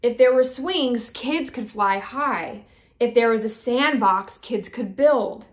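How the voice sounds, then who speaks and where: Woman voicing an eager little girl, high-pitched. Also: slow pace. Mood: earnest, hopeful. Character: eager, persistent little girl with big ideas. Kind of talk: children's storybook read-aloud.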